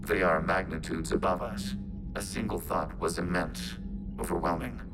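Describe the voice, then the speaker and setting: A low-voiced, logical machine being from a sci-fi game, speaks in measured, formal statements as "we". The voice is filtered and synthetic, with loud music playing behind it. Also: male voice. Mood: reflective, literal. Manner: measured, clipped, formal phrasing, calling itself we. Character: calm, logical robotic alien machine intelligence, formal and literal. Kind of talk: video game voice acting.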